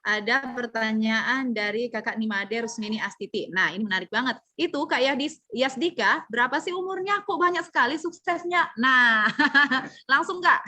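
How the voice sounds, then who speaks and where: Woman running a webinar q&a on a video call, upbeat, kinda high bright voice. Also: measured pace. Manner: upbeat, calls on askers by name. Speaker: webinar moderator. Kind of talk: moderating a Q&A.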